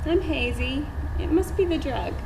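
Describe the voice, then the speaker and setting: A woman's voice: high voice, normal speed, gravelly, a little mellow. A woman playing a hazy yet playful patient near sixty, questioning and reactive, in a live stage play. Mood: groggy, questioning. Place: indoor theater stage. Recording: echoey theater stage with faint noise.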